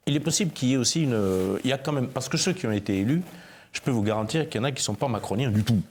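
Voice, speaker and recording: A man's voice: medium pitch, animated, rapid-fire, darkish tone, breathy edge. A man speaking as a guest in a televised political debate, who stumbles and repeats words before making his points. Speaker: panel guest.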